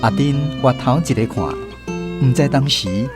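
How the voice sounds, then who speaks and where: A man with a Taiwanese accent narrating a scripted radio storybook drama in an expressive storytelling manner. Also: medium pitch, normal speed, loud music behind the voice.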